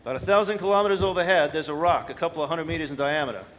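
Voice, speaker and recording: Man acting a bluff, high-voiced space mining boss. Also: rapid-fire, a bit bright, a little hiss or hum, lightly acted. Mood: explaining, wry. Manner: bluff, bossy, wry. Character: brisk senior asteroid mining supervisor, worldly and gruffly friendly. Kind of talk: radio drama acting.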